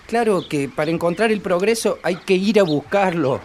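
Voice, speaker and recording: A man's voice: sits high, animated, moderate pace, slight background noise. Actor as a restless young northern Argentine countryman. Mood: insistent, scornful. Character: restless young country man dreaming of city progress. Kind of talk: radio play acting.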